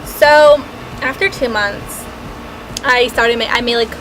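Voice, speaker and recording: A woman's voice: a little high, slow pace, background noise. A young American woman telling a casual storytime, chatty and full of "like", acting out her past self. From United States. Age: young adult. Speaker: vlogger. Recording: loud background music.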